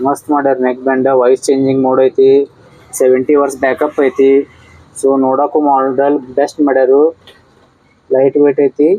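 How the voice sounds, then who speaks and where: A man's voice: mid-range voice, completely flat delivery, a little fast, mellow, no echo, rough recording. A man with a North Karnataka accent giving a casual product unboxing and review, in a repetitive way with short exclamations. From Karnataka, India.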